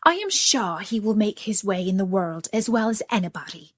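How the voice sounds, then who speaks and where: A woman's voice: medium pitch, sing-song, moderate pace, dry recording, studio quality. A woman voicing a fierce, protective mother duck in a storybook tale, firm and insistent. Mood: proud, reassuring. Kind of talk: storybook character voice.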